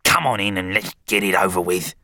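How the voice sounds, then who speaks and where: A rude storybook dad, played by a male narrator, brusque and grumbling. His voice is bright and forceful, swinging widely as he brushes people off. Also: on the low side, animated, measured pace, no echo, studio quality, voice pushed up, heavily acted. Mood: grudging. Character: rude, curt, shifty middle-aged father.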